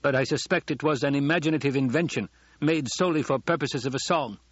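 A man's voice: mid-range voice, fast, breathy edge, no room sound, highs cut off, voice pushed up, close to an everyday voice. A man voicing a dry, authoritative scientist in an audiobook, speaking precisely and formally. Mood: cautious, factual. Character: male planetary scientist, dry, authoritative, cautious. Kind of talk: audiobook character acting.

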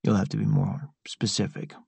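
A man voicing a calm, dry-witted older man who speaks quietly and in an understated way. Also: lowish voice, normal speed, vocal fry, breathy edge, dry recording, full character voice.

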